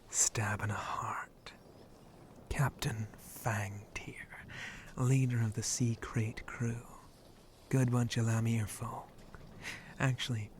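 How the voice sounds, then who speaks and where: A man playing a flirty, roguish shark-monster pirate in an ASMR roleplay, breathy and often whispering, with a teasing, rustic pirate way of talking. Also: medium pitch, a little hiss or hum, lightly acted. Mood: instructive, wary. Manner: rustic pirate dialect, hesitant drawl, teasing.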